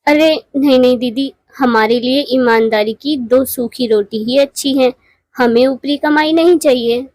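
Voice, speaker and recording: A woman's voice: sits high, unhurried, darkish tone, dry recording, poor audio. A woman voicing a humble, honest younger-sister bird, polite and earnest, in a moral cartoon. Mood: polite, firm. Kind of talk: Hindi moral cartoon voice acting.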